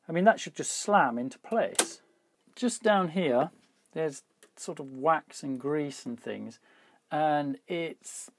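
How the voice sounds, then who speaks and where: A man with a slightly husky voice gives casual hands-on repair commentary, thinking aloud informally as he works. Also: fairly high pitch, a little mellow. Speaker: repair hobbyist presenting a video.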